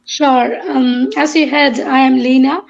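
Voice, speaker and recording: A woman in her thirties from Kerala, India, speaking as a guest in an online interview, pausing often with ums and uhs. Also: high voice, level tone, creaky, muffled recording. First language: Malayalam. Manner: hesitant, many ums and uhs.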